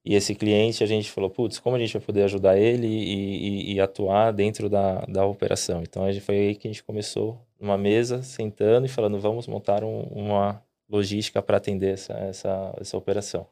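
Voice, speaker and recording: Male podcast host, low register, easygoing, conversational, peppers lines with tag questions. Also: flat delivery, vocal fry, warm tone. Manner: unhurried, frequent tag questions. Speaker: host, company partner. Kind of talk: casual podcast chat.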